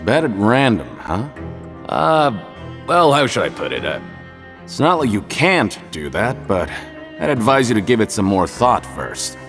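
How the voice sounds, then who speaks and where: A man's voice: mid-range voice, big pitch swings, unhurried, a bit bright. An actor playing a shifty, folksy ticket seller at a fight ring in a video game, with a casual, confiding, hedging salesman's manner. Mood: hesitant. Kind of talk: video game character acting. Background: music.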